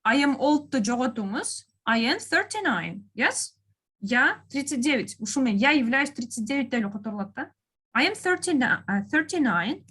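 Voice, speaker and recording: A woman's voice: high voice, lively intonation, normal speed, fairly bright tone, slightly rough. A woman language teacher. She explains and prompts in an encouraging manner, slipping between languages as she goes. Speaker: English teacher.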